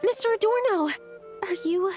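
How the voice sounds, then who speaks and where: A woman's voice: extremely high pitch, moderate pace, darkish tone, soft background music. A woman playing an earnest, anxious young research assistant in a video game, careful and concerned in manner. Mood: worried.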